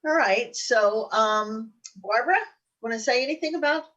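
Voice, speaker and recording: A woman's voice: fairly high pitch, moderate pace, a bit bright, recorded from a distance, dull audio. A woman chatting casually as a show host. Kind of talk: casual chat.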